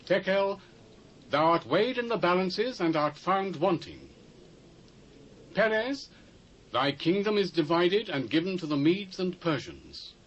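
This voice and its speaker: Stern British prophet with swooping delivery. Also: male voice, high-pitched, animated, slow pace, a bit bright, lo-fi sound, exaggerated voice. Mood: grave, proclaiming. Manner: solemn, emphatic, declamatory. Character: stern, fearless prophet pronouncing doom on a king. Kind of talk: dramatised Bible reading, theatrical declamation. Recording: faint hiss, old cassette transfer.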